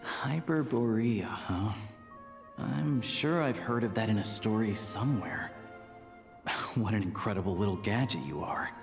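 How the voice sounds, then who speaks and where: Laid back game guide, lowish voice, chatty storyteller, music under it. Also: male voice, measured pace, vocal fry. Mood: intrigued. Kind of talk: video game character acting.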